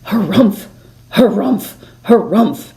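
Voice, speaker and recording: A woman's voice: medium pitch, expressive, slow, gravelly, dark tone. A woman voicing a snooty storybook bird with sing-song, snorting nonsense sounds. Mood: indignant.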